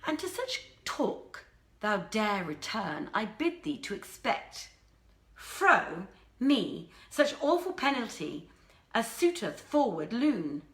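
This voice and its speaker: A woman's voice: medium pitch, sing-song, takes it slowly, a bit bright, roomy sound. A woman voicing a haughty young princess in a dramatic storybook reading, imperious and indignant in archaic speech. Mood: stern, threatening. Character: haughty young princess, quick to fury and scorn.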